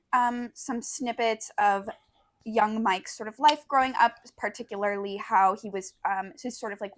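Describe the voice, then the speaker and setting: A woman's voice: fairly high pitch, fairly bright tone. A young woman, co-hosting a podcast in casual, unscripted conversation. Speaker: podcast co-host.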